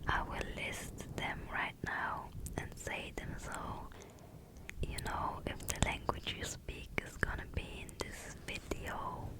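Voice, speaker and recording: A woman with a German accent, a native German speaker, hosting ASMR in a soft breathy whisper with a hesitant, informal manner. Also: rough recording. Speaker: ASMR video host.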